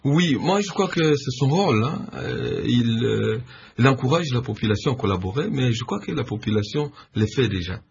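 Casting: civil society leader, husky and gravelly, halting, dull lo-fi line. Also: male voice, mid-range voice, lively intonation. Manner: hesitant, many fillers, measured. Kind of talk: phone-in radio interview answers.

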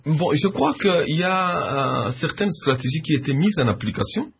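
A man answering radio interview questions, hesitant and measured with many fillers. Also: on the high side, big pitch swings, creaky, slightly rough. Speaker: civil society leader, interviewed guest. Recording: dull lo-fi radio line.

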